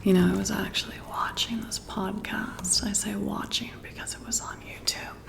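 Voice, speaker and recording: A woman doing soft-spoken ASMR, talking in a hushed, intimate, musing way as if chatting to one listener. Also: mid-range voice, level tone, moderate pace, creaky. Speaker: ASMR roleplay performer. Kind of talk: soft-spoken whispered ASMR, casual one-sided chat.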